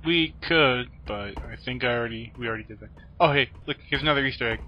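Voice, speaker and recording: A man's voice: mid-range voice, fast, lo-fi sound. A man giving casual let's play commentary as host, with offhand asides to the game. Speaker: gaming video host.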